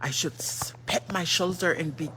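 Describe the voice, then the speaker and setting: A woman's voice: low voice, moderate pace, pushed and bright, a little hiss or hum. Earnest woman with a Tongan accent. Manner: earnest, asks rhetorical questions and answers them; switches between languages mid-sentence. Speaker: livestream host. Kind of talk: motivational livestream chat.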